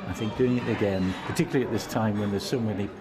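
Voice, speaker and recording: Older man, thoughtful, low voice. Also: fast. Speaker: interviewee. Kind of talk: street interview.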